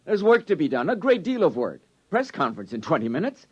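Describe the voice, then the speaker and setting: A man's voice: fairly high pitch, wildly swooping pitch, brisk, a little mellow, breathy edge, full character voice. An actor playing a self-assured male doctor who brushes off objections in a 1950s radio drama. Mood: placating. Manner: placating, breezy.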